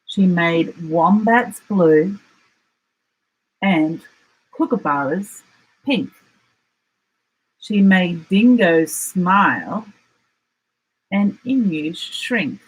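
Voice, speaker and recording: Husky, unhurried Australian woman storyteller. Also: fairly low pitch, low-quality recording. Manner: expressive, deliberate dramatic emphasis. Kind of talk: children's picture book read-aloud.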